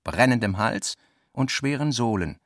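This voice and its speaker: A man reading scripted literary narration for a radio production, with a creaky voice in a measured, reflective manner. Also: medium pitch, animated, fairly bright tone, dry recording, studio quality.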